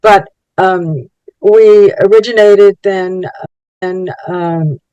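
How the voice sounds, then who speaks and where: An elderly speaker in their eighties whose first language was Yiddish, recounting early life in a measured, searching way with a touch of wry humor. The pitch sits at a middling level. The sound is dry and close, with no room echo. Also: fairly even intonation, darkish tone, clear, resonant tone, dull audio. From Poland. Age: 80s. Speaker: Holocaust child survivor giving testimony. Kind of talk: personal recollection for a documentary.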